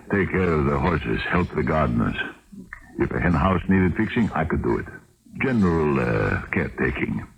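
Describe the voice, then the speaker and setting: A man's voice: very deep voice, lo-fi sound, heavily acted. A man acting in an old radio drama as a shifty, hard-drinking Russian vagrant, grumbling and rambling, on a noisy old broadcast tape. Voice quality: gravelly. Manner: rambling, muttering, grumbling, swinging to outbursts.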